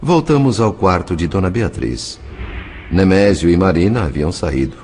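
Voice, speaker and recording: A male narrator with a Brazilian accent reads a scripted story. His voice is deep, and soft music plays behind him. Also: animated, moderate pace.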